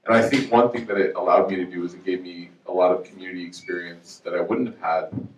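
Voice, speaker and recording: A man's voice: deep voice, fairly even intonation, quick pace, roomy sound, far from the mic, low-quality recording. A man from Chicago, a guest speaker talking casually and joking with a student audience, easygoing with many fillers.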